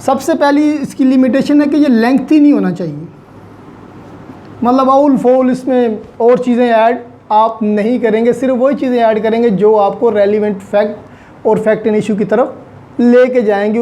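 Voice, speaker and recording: A woman's voice: a little high, normal speed, mellow. A woman with a Pakistani accent lecturing on law in a didactic way, mixing in English terms and repeating key points for emphasis.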